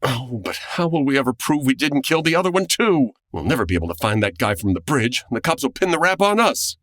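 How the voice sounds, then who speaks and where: A man's voice: medium pitch, very animated, brisk, fairly bright tone, no room sound. A man playing an anxious, flustered 1940s man in an old-time radio comedy parody, hesitant and stammering. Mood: fretful.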